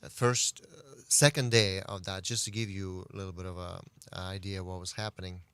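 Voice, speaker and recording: Man, low voice, smooth tone with some fry, halting, interview guest. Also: steady tone, fairly bright tone, no room sound. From Central Asia. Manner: halting, searching for words. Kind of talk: interview account. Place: radio studio.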